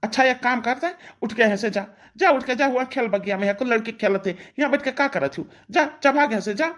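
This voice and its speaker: A woman's voice: a little high, a little fast, lightly acted. A woman voicing an angry, bullying village husband in a cartoon, with an Awadhi accent and a curt, threatening manner. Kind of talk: melodramatic cartoon dialogue.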